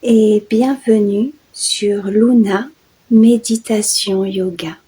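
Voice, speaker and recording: A woman leading a guided sleep meditation in a soft, soothing, hushed voice that often drops toward a whisper. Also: medium pitch, steady tone, takes it slowly, husky, low-quality recording. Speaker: guided meditation host and yoga nidra guide.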